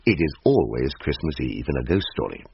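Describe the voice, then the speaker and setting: A man playing a pompous, aggrieved Victorian gentleman who narrates a ghost story in a florid British manner. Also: a bass voice, big pitch swings, a little fast, vocal fry, breathy edge, no echo, dull audio, heavily acted. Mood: wry, genial.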